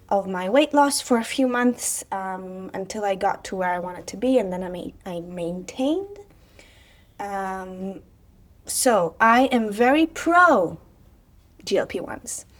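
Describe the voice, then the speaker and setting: A woman, chatting casually on her vlog with sarcastic asides and frequent fillers. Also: fairly high pitch. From Israel. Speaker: vlogger, personal trainer.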